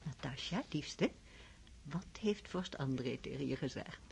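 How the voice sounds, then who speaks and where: A gentle countess mother. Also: very deep voice, animated, unhurried, gravelly, a little hiss or hum, full character voice. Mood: tender.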